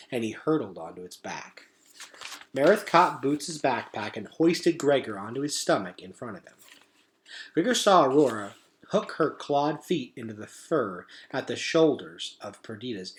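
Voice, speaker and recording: Animated man reading an adventure story aloud. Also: mid-range voice. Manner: animated, suspenseful delivery. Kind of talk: dramatic children's novel read-aloud.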